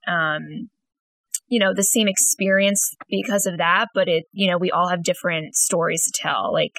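A woman's voice: on the low side, fairly even intonation, moderate pace, a bit bright, dry recording. A woman in her twenties co-hosting a podcast, talking in an upbeat, gushing way with plenty of fillers. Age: 20s. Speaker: podcast co-host. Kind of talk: casual podcast interview.

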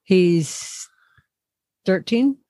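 A woman's voice: mid-range voice, expressive, drawn-out words, dark tone, no room sound, clean studio sound. A middle-aged woman co-hosting a casual podcast, telling rambling stories and teasing her co-host. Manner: chatty, rambling storyteller, teasing. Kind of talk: casual chat.

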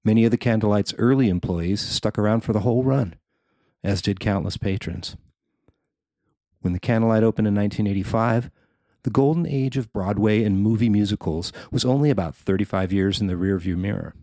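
A man with a fairly low voice narrates a written feature article. He reads from a prepared script as its writer, in a dry recording with very little room sound. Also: a little fast, warm tone, no room sound. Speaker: newspaper writer reading own article.

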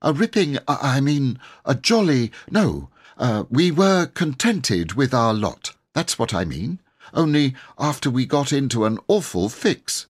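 A man's voice: medium pitch, sing-song, measured pace, breathy edge, voice pushed up. A man voicing an earnest, flustered young boy who stumbles and corrects himself politely in a storybook reading. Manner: stumbling, self-correcting, polite schoolboy chatter.

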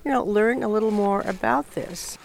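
A woman's voice: mid-range voice, moderate pace, gravelly, noisy background. An older woman from Hawaii, a retired volunteer talking informally in an on-site interview. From Honolulu, Hawaii.

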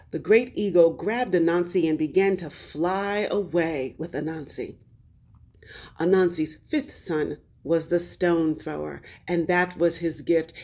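Woman, folktale storyteller, very slow delivery, slightly low pitch, dramatic pauses, expressive host narration. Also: slightly rough. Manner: deliberate, dramatic pauses and drawn-out words.

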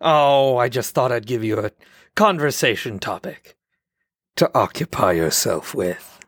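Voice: medium pitch, expressive, a little slow, no room sound, studio quality. An actor playing a smug, manipulative doctor in an audio drama, with condescending, mock-polite, theatrical phrasing. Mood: mock-pleasant, teasing. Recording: loud music under the voice. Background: music.

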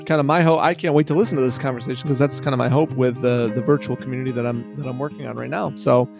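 A man's voice: mid-range voice, steady tone, very fast, creaky, music in the background. A man co-hosting a podcast in casual chat, bantering and poking fun at himself. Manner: self-deprecating, bantering. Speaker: podcast co-host.

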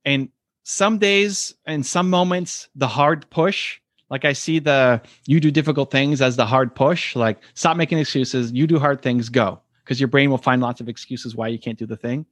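A man's voice: normal speed, a bit bright, dry recording, clean studio sound. A man as a podcast guest, answering earnestly and acting out quoted voices. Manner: earnest, acts out quoted voices with rising pitch. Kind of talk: reflective conversational interview answers.

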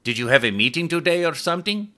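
A man voicing a chatty, excitable middle-aged cab driver with a thick Spanish accent. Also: on the high side, fast talker, pitched above the performer's own voice. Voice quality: thick accent, eager and talkative.

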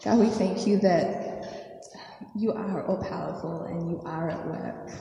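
A woman preacher with a croaky, hoarse voice from a sore throat, praying softly with many fillers, then reading scripture and preaching. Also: on the low side, fairly even intonation, unhurried. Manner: soft, hesitant prayer with many fillers. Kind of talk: sermon with prayer and scripture reading. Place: church hall. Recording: echoey hall, faint noise.

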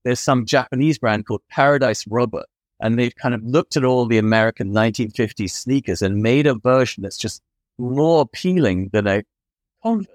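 A man's voice: medium pitch, moderate pace, no echo. A man appearing as a podcast guest, talking in an expansive, enthusiastic, rambling way. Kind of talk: casual interview chat.

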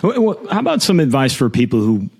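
A man's voice: medium pitch, wildly swooping pitch, fairly quick, vocal fry, darkish tone, slightly rough. Encouraging male podcast host. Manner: friendly, encouraging, brief stumbling restarts. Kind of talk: podcast hosting.

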